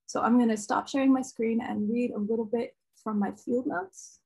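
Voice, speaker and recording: A woman researcher presents her fieldwork in a soft, quiet voice over a laptop mic. Her tone is slightly high, breathy and edged with vocal fry. She speaks casually, filling gaps with ums. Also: fairly even intonation, normal speed, low-quality recording. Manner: casual, with many ums and uhs. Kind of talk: academic conference talk. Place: home room. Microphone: laptop webcam on video call.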